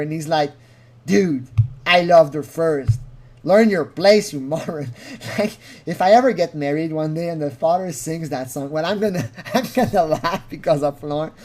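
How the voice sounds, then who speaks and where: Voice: mid-range voice, moderate pace, darkish tone. A person giving informal, rambling commentary on a recording, often laughing through sentences and acting out mocking quotes. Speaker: video commentator.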